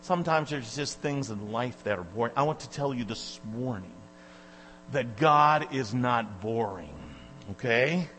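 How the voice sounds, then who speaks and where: Chatty loud-voiced preacher in echoey church. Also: male voice, medium pitch, measured pace. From Michigan. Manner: loud, chatty, rambling with many fillers. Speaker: guest preacher. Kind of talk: informal sermon introduction. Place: church sanctuary. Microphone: handheld or stand microphone. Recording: echoey church hall with mains hum.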